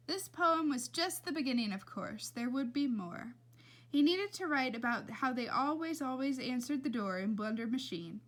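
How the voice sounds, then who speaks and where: A woman reading a children's novel aloud as an expressive storyteller. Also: high-pitched. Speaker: reader.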